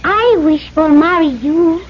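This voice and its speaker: A woman's voice: extremely high pitch, slow pace. An actress playing a young, proud Polynesian island woman in a radio drama, earnest, speaking short broken English. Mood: pleading, proud. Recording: dull old radio recording, faint noise.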